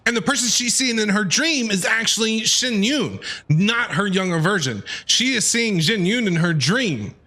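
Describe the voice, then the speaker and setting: A man doing live gameplay commentary as a streamer, excitable and thinking out loud. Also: high voice, normal speed. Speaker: game streamer. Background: game music.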